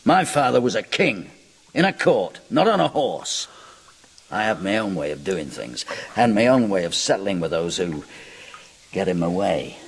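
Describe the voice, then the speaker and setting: An actor in a radio drama playing a powerful, coolly menacing Roman kingmaker, measured and sardonic. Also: male voice, low voice, big pitch swings, slightly rough, full character voice. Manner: measured, sardonic, veiled threats.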